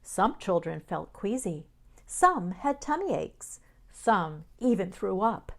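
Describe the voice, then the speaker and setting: A woman's voice: medium pitch, very animated, slow, creaky, dry recording. A woman reading scripted narration for podcasts and radio, as a host and reporter.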